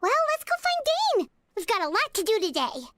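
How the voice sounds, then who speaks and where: A woman voicing a tiny, excitable female fairy-like game companion who talks about herself in the third person. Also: very high-pitched, slight background noise, full character voice. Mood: chirpy. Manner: eager, quick to jump in.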